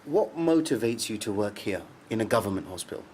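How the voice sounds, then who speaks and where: An actor playing a polite but dogged documentary journalist with a halting manner, in a naturalistic radio drama. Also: male voice, on the low side, expressive, a little mellow, lightly acted. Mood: probing, accusing. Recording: noisy background ambience.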